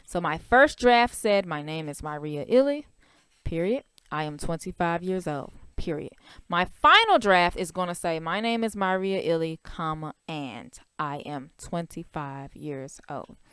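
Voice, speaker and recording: A young woman teacher talks young children through a writing worksheet, slowly and patiently, one step at a time. Her voice sits a little low and sounds smooth and clear. The recording is dry and close, with no room sound. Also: lively intonation, a bit bright, no echo.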